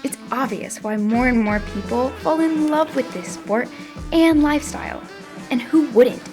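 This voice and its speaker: Woman narrating a documentary over loud music. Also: sits high, normal speed.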